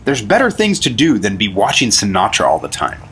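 An actor playing an earnest, hard-boiled rookie federal agent in a 1950s radio drama. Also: male voice, lowish voice, wildly swooping pitch, fairly quick, fairly bright tone, breathy edge, exaggerated voice. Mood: earnest, fed up.

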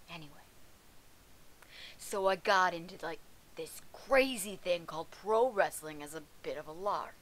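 A cocky seven-foot wrestler, slow and low. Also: female voice, fairly bright tone, slight background noise, poor audio. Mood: wry. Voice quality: wry, irreverent, profane. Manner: drawling, sarcastic asides. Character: brash seven-foot female pro wrestler, crude and cocky. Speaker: wrestler telling her story. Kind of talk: scripted web-show monologue.

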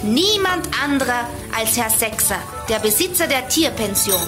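A woman's voice: on the high side, lively intonation, moderate pace, natural voice. A woman playing a clever, eager girl detective in a children's audio drama. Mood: confident. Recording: roomy echo, music behind.

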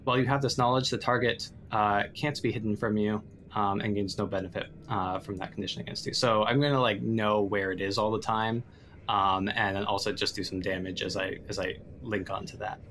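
A man playing a tabletop roleplaying game, improvising casually and haltingly as he thinks aloud. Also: lowish voice, steady tone, fairly bright tone, slight background noise.

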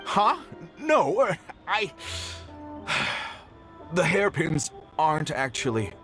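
A man's voice: a little high, big pitch swings, slow, sharp tone, heavily acted. Defensive merchant guy from a game, argues a lot, voice jumps around, faint music. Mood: flustered. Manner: argumentative, self-justifying. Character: smug, defensive adult male merchant, easily rattled. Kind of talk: video game character acting.

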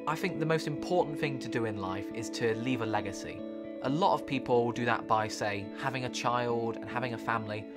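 Young British man, physics student type, earnest and exact, matter-of-fact delivery, with clear music playing under him. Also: mid-range voice, brisk. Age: young adult. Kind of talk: documentary interview. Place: indoor room, close-up.

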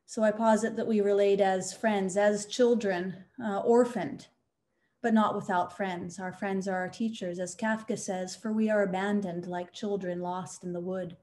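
A woman presenting an academic talk on a video call, measured and quoting philosophers. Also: mid-range voice, steady tone, darkish tone. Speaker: conference panelist.

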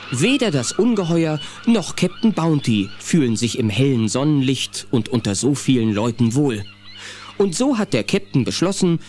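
A man narrating a children's audio play in a suspenseful, expressive storytelling style. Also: mid-range voice, slight background noise, natural voice. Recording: music under the voice. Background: music.

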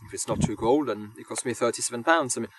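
A man, a hobby wild camper, thinking aloud in relaxed interview answers, pausing with lots of ums and restarting his sentences. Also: medium pitch, background noise. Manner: hesitant, many ums and restarts. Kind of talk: casual reflective interview answers. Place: outdoors on moorland. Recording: distant voice under loud music.

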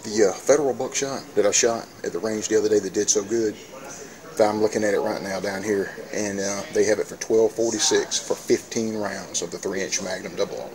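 A man's voice: fairly low pitch, fairly even intonation, brisk, a little mellow, rough recording. A middle-aged man giving casual how-to vlog talk, folksy and speaking directly to viewers. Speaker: outdoors and shooting vlogger.

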